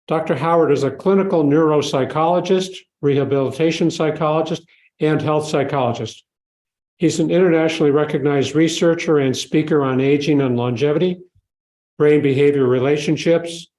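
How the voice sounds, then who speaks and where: A man hosting a live podcast before an audience, reading a formal introduction in a measured way, then asking questions. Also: on the high side, darkish tone, reverberant. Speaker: podcast host. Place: learning center hall with a live audience.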